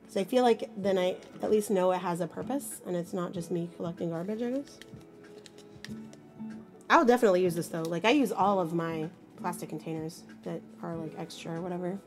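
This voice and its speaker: Husky sick-sounding woman rambling while she crafts, offhand and a bit self-mocking, faint music behind. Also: fairly low pitch, a little fast, darkish tone. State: hoarse, sick with bronchitis and pneumonia. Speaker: mixed media artist streaming live. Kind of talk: casual livestream chat while crafting.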